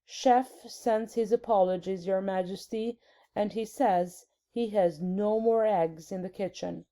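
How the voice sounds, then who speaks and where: Polite storybook butler, read by a woman, male servant, hushed, deferential, slow-paced, soft tone. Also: mid-range voice, fairly even intonation, natural voice. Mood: discreet.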